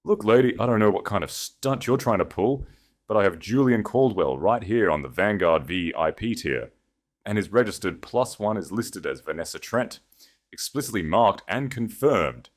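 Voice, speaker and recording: A man narrating an audiobook, voicing a gruff male bouncer, officious and quick to look down on people or defer to rank. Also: lowish voice, expressive, voice pushed up, close to an everyday voice. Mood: patronizing. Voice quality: gruff, grunting. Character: burly, dismissive, patronizing male nightclub bouncer turning servile.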